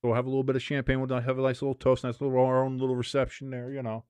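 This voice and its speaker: A man hosting a casual watch-along, joking, swearing and shouting at big plays. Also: medium pitch, rapid-fire, clear, resonant tone, no echo. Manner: excitable, profane, jokey, shouts at big plays. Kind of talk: casual live match commentary.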